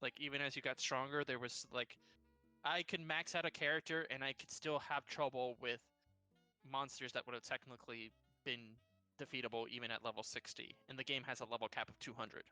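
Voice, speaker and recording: A man's voice: medium pitch, fairly even intonation, fairly quick, sharp tone, soft background music. A man in his thirties with a youthful-sounding voice, rambling in casual chat between tabletop players. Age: 30s. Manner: rambling, many restarts, laughs at himself.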